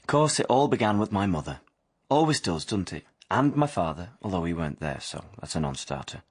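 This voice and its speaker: Young working-class drifter, low husky voice, wry confessional radio-drama narrator. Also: male voice, big pitch swings, a little fast, heavily acted. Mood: wry, confiding. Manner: colloquial, non-standard grammar.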